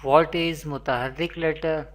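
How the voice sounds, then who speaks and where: A Quran teacher, giving an online lesson in a slow, repetitive teaching manner, with Arabic recitation. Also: mid-range voice, fairly even intonation.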